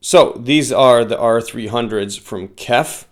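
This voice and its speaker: A man giving a casual product review in a halting way, often pausing mid-phrase. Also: mid-range voice. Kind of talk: semi-scripted casual review.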